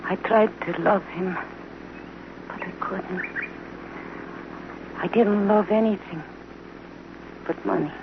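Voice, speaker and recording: Slow, faint dying outlaw woman. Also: medium pitch, fairly even intonation. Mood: weak, remorseful. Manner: faint, halting, weary. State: weakening, near death. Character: dying, remorseful female outlaw, lonely and tender. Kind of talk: 1950s radio drama acting. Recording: dull, noisy old radio recording.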